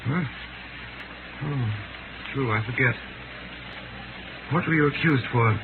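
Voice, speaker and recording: An actor playing a calm, tender man resigned to death, in solemn, measured 1930s radio drama style on a scratchy old recording. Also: medium pitch, narrow, tinny audio. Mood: gentle, reassuring. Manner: solemn, measured, theatrical delivery.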